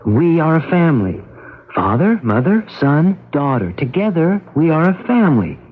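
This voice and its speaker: Earnest, higher-voiced farm brother. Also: male voice, sing-song, normal speed. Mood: patient, earnest. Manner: gentle, careful explaining, as to a child. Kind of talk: 1970s radio drama acting. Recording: dull lo-fi radio broadcast recording.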